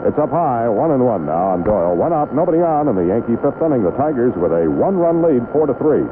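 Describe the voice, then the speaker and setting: A man's voice: mid-range voice, very animated, fast talker. An American man calling live baseball play-by-play on the radio. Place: outdoor stadium broadcast booth. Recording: noisy tinny vintage 1970s radio broadcast. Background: hissy stadium ambience noise.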